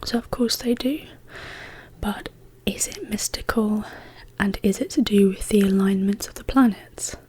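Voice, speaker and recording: A woman speaking as an ASMR host in a hushed, whispered, casual question-and-answer style. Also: medium pitch, husky. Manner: slow, hushed, gently paced delivery.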